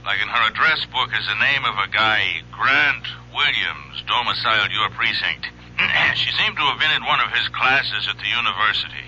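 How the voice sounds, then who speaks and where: An actor playing a world-weary police lieutenant in a radio drama, terse and colloquial. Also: male voice, on the low side, animated, normal speed, bright, forceful tone. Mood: matter-of-fact. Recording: dull, faintly noisy old radio broadcast.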